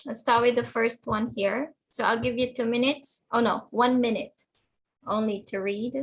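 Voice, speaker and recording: A woman's voice: fairly high pitch, steady tone, normal speed, a little hiss or hum, low-quality recording. A woman teaching an English class online, explaining in simple phrases, repeating herself and checking that students follow. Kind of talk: online lesson, explaining words and questioning students.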